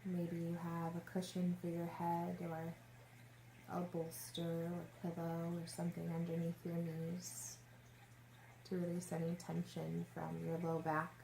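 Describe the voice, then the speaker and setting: Female meditation guide, lowish gravelly-edged voice, gentle pacing with long pauses, echoey room with faint noise. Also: monotone. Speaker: yoga nidra meditation teacher. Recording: roomy echo, faint background noise.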